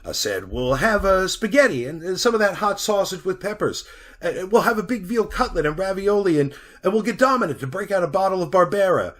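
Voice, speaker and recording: An actor playing a haunted, bitter man who narrates a retro radio drama in a brooding, deliberate way with dramatic pauses. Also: high voice, lively intonation, fairly bright tone. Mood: frantic reassurance. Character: haunted, bitter man recounting being lost in a mine.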